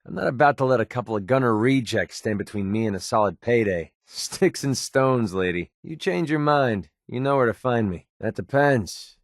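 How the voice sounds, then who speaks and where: An actor voicing a wry, prickly young mercenary sniper with a hidden soft side in a video game, sarcastic and conversational. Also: male voice, medium pitch, moderate pace, hardly any pauses, no echo, clean studio sound, close to an everyday voice. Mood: cocky, wry. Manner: sarcastic, conversational, emotionally expressive. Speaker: video game companion character. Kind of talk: naturalistic video game voice acting.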